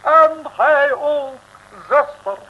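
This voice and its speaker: An actor playing a pompous, exalted street preacher who speaks solemn, old-fashioned phrases in a radio comedy serial. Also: male voice, extremely high pitch, extremely slow, full character voice. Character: unctuous, exalted street preacher calling everyone brother. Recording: thin phone-like old radio recording.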